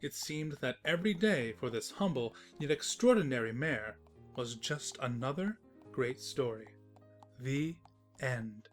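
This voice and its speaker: A man playing a teasing, sentimental, gentlemanly storybook narrator in a fan fiction audio drama. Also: mid-range voice, expressive, unhurried, soft background music, natural voice. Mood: warmly wry.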